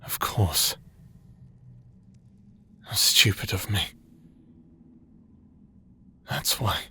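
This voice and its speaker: A man playing an earnest necromancer in a dark fantasy romance audio drama, speaking in a halting, emotional way with heavy pauses. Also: lowish voice, expressive, a little hiss or hum, heavily acted. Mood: stunned, bitter. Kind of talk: dramatic audio roleplay acting.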